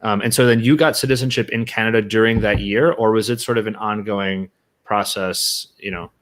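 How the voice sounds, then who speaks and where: A man hosts a podcast interview in a fairly low voice. He talks in a loose, conversational way, hedging and filling gaps with "like" and "I mean.". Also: fairly even intonation, a little fast, a bit bright. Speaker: podcast host.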